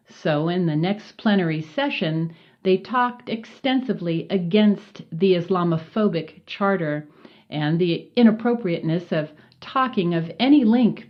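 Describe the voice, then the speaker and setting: A woman with a fairly low voice reads a written report aloud as scripted narration. Her delivery is formal and measured, spelling each acronym out letter by letter. The recording sounds dull and lo-fi.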